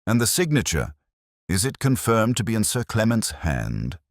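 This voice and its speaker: A man voicing an incisive Victorian detective in an audiobook, with formal, measured, probing questions. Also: lowish voice, very animated, no echo, studio quality, voice pushed up. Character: Victorian male detective, incisive, intrigued and commanding.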